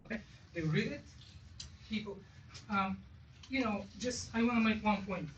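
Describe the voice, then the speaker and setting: Halting, insistent speaker in a noisy room. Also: medium pitch, lo-fi sound. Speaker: audience member giving feedback. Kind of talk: spoken feedback at a business meeting. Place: event room with audience. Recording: distant mic, echoey room, clear noise.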